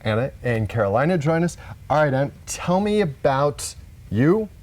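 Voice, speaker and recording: Brisk male real estate radio host. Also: fairly high pitch, very animated, a little mellow, slight background noise. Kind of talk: casual radio interview.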